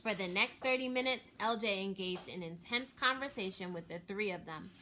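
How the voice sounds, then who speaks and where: A woman reading a children's story aloud in an expressive, animated storytelling manner. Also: medium pitch, moderate pace, a little hiss or hum. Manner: animated, raises voice for dialogue.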